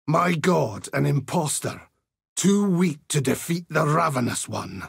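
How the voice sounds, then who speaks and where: An actor playing a zealous, grim older Templar holy warrior in a video game, with a gravelly, raspy voice and solemn, righteous delivery. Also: male voice, a little high, expressive, a little slow, dry recording, studio quality, full character voice. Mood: grave, brooding. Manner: solemn, pious, righteous oratory. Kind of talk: video game character voice lines.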